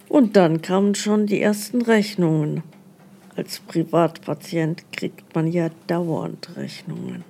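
A woman's voice: mid-range voice, gravelly, mellow, heavily acted. A woman playing an older pensioner who plaintively recounts her troubles in a mock documentary.

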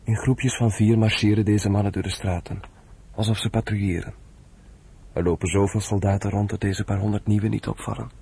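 A man with a husky voice narrating a radio drama in a factual scripted style. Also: fairly low pitch, little pitch movement, a little fast, background noise.

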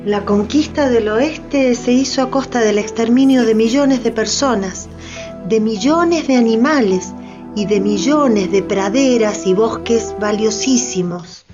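A woman's voice: a little high, measured pace. A woman narrator in scripted radio theatre. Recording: dull audio with music behind.

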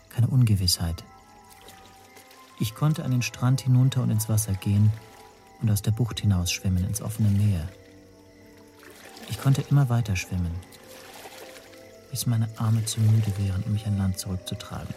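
An actor playing an anxious, self-doubting man who narrates his own story in a breathy, brooding hush. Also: on the low side, close to an everyday voice. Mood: despairing. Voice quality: husky, breathy, often near whisper. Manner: brooding, introspective, hushed. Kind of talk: first-person radio play narration.

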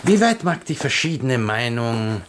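A shrewd envoy in a radio play who needles his ally. He speaks at a medium pitch in a dry, mocking tone, with a faint hiss behind him. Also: sing-song, unhurried. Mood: needling. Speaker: imperial envoy.

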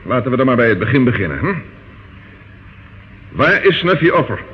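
Level-headed detective, mid-pitched male voice. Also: big pitch swings, a little fast, husky. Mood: firm, pressing. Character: level-headed, methodical male London police detective, firm interrogator. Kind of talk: old radio play acting. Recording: muffled old radio recording, faint noise.

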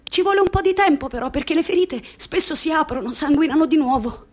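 A woman's voice: high-pitched, expressive, fast talker. An actress in a radio play playing a worn, bruised young woman who speaks in a subdued, hesitant, tearful way. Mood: resigned.

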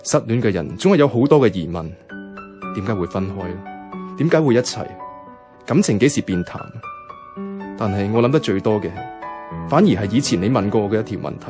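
An actor as a withdrawn, heartbroken young man in a radio play. His voice sits on the low side, subdued and weary, with a Hong Kong Cantonese accent, over clearly audible music. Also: lively intonation, a little fast, pauses often, natural voice. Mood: melancholy reflection. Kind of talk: radio drama acting and inner monologue.

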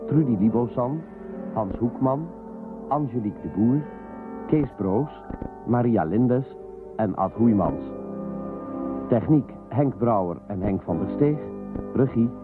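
A man's voice: mid-range voice, expressive, slow pace, long pauses. A man announcing radio drama credits in a scripted style. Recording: phone-like band, music behind.

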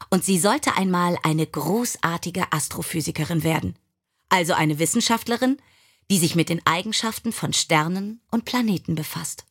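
A woman reading scripted biographical stories as an audiobook narrator. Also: medium pitch.

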